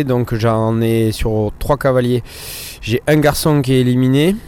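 A professional horse rider and dealer answering interview questions in a hesitant way, with many fillers. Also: male voice, mid-range voice, darkish tone, clear, resonant tone.

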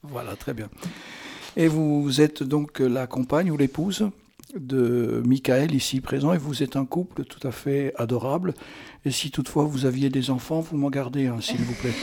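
A man's voice: mid-range voice, normal speed, gravelly, dark tone, slight background noise. A man hosting a radio show in a chatty, improvised interview style, playful and teasing, with rambling, theatrical, flowery turns of speech. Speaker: radio host.

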